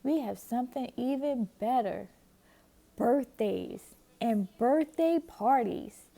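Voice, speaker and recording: A woman reading a storybook aloud and voicing a kindly, easygoing father bear in a gentle fatherly way. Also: fairly high pitch, expressive, takes it slowly, gravelly, heavily acted.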